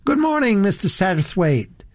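A man voicing a blunt, earnest young woman with a high, shrill voice in an audiobook story. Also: big pitch swings, moderate pace, dry recording. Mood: anxious, probing. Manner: blunt, indignant. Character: earnest, disapproving, very young American tourist woman.

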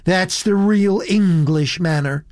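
Man putting on a shrill, indignant young woman. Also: big pitch swings, unhurried, dry recording, clean studio sound, full character voice. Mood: displeased. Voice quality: high, shrill. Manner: blunt, indignant. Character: earnest, disapproving, very young American tourist woman.

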